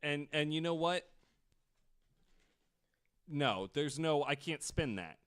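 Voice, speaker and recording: A man's voice: a little high, expressive, takes it slowly, fairly bright tone, clean tone. A man in casual comedic podcast banter, riffing and exclaiming in a jokey way. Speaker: podcast co-host.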